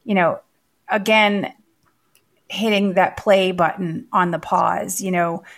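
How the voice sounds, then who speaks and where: A woman co-hosting a chatty podcast, her voice still raspy from a bout of laryngitis. She talks quickly and loosely, with plenty of fillers and restarts, and her pitch sits in a middle range. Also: steady tone. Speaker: podcast co-host. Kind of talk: casual chat.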